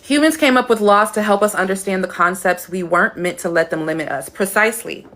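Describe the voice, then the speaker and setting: A young woman hosting a casual live stream Q&A, blunt and emphatic, who swears freely, answers chat comments and plays with her voice, dropping low or going high. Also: brisk, fairly bright tone. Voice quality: playful, shifts her voice between high and deep.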